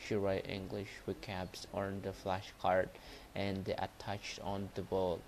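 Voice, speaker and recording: Halting man with a Cambodian accent. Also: sits low, monotone, vocal fry, background noise. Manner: halting, repetitive, non-native English phrasing. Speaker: Buddhist monk teacher and livestream host. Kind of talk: informal livestreamed motivational talk and lesson.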